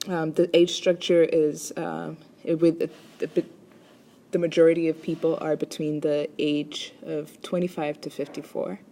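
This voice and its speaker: A woman's voice: sits low, flat delivery, a little slow, gravelly, dark tone. A woman from Rwanda presents a class assignment as a chiropractic student, speaking hesitantly with many ums and restarts.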